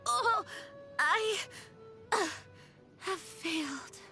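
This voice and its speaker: High-pitched, earnest young lady knight. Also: animated, drawn-out words, bright, forceful tone, soft background music, full character voice. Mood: strained, wounded. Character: young female knight, earnest, dutiful, pushing through injury. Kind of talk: video game voice acting.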